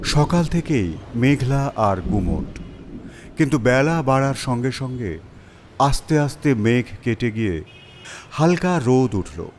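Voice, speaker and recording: A man's voice: medium pitch, big pitch swings, a little slow, slight background noise. A man narrating a scripted story as its storyteller.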